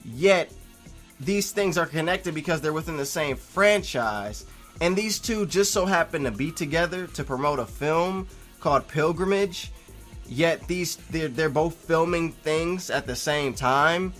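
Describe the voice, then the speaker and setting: A man talking as a vlogger in a casual, opinionated reply to viewers, with a halting, rambling and emphatic delivery. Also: fairly high pitch, fairly bright tone, soft background music. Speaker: YouTube vlogger.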